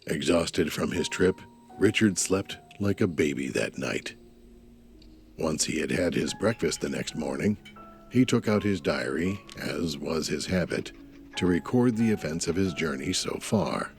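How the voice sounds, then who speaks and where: Audio drama narrator, male, deep with plenty of fry, measured scripted storytelling. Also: lively intonation, soft background music.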